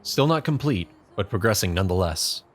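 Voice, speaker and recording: A man's voice: low-pitched, expressive, fairly quick. A man reading scripted second-person story narration as the narrator of a fan fiction drama.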